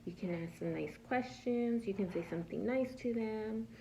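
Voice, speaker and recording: A woman reading a picture book aloud as a preschool teacher, pausing to ask small children simple questions and patiently explaining the answers. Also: mid-range voice, creaky, a little hiss or hum, rough recording. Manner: animated, asks simple questions and answers them herself.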